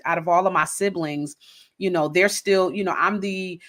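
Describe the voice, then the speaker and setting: A middle-aged woman hosting a podcast, interviewing a guest in an expressive, chatty way. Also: lowish voice, normal speed. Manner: expressive, animated storyteller. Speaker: podcast host. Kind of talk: conversational interview.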